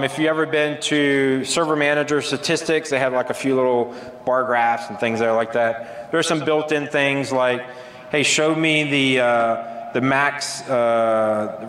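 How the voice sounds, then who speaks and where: A man giving a relaxed, casual technical demo at a conference, with many ums and uhs. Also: medium pitch, reverberant, in a large hall. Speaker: conference presenter. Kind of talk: technical software demo talk. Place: large conference hall.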